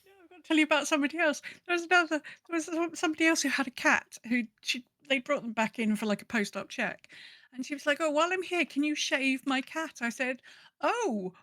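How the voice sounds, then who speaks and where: Higher-voiced British woman, chatty and emphatic. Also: fast, fairly bright tone, dry recording. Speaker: podcast co-host. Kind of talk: casual chat.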